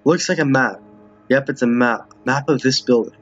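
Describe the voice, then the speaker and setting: A man's voice: medium pitch, normal speed, fairly bright tone, soft background music. A man giving casual game commentary as a streamer, reading dialogue aloud and often stumbling over or repeating lines. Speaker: streamer playing a visual novel with viewers. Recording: muffled, roomy home recording.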